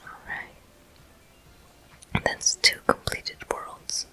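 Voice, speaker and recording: A person whispering ASMR game commentary in a deliberate manner, pausing between short phrases. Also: pushed and bright. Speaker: gameplay host.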